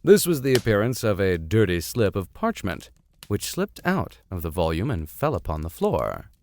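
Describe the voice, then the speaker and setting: A man's voice: on the low side, big pitch swings, moderate pace, darkish tone. A man reading a classic story aloud as host, theatrical in delivery, with wry asides. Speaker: reader, host.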